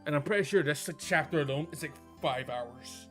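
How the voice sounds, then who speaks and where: Sweary male game streamer, bright voice. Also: on the high side, moderate pace, faint music behind. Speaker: live streamer. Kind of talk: casual game-stream chat.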